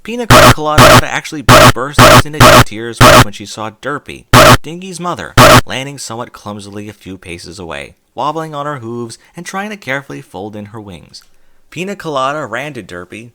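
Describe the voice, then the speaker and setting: A man narrates a storybook chapter with a bright, forward tone. His pitch sits a little low but moves around a great deal as he tells it, full of expression. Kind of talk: audiobook fan fiction reading.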